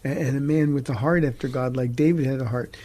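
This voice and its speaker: A middle-aged man, a pastor hosting a talk show, teaching from the Bible in an earnest, halting way with frequent restarts. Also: mid-range voice, steady tone, dark tone.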